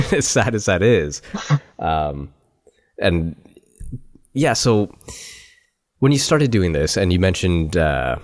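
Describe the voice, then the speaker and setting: A man hosting a podcast interview, asking questions in a quick, chatty, rambling way. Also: low voice, very animated. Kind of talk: casual interview chat.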